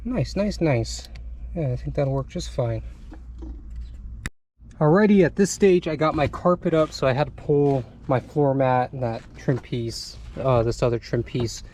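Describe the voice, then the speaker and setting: A man's voice: medium pitch, a little slow, darkish tone, a little hiss or hum. A man talking casually while installing a radio in his truck, thinking aloud with plenty of fillers. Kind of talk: casual how-to vlog narration. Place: inside a pickup truck cab.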